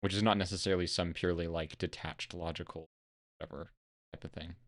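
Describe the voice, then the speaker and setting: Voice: sits low, fairly even intonation, fast talker, no room sound, clean studio sound. A stream co-host in casual analytical chat, thinking aloud in a discursive way.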